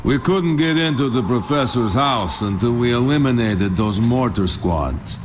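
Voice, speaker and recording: A man's voice: medium pitch, a little slow, noisy background, natural voice. An actor playing a dry, sardonic soldier, narrating his testimony in a video game cutscene. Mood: grimly deadpan. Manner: deadpan, wry.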